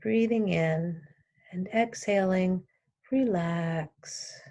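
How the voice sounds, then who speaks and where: A woman teaching yoga nidra, guiding a relaxation meditation in calm, short instructional phrases with long pauses between them. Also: lowish voice.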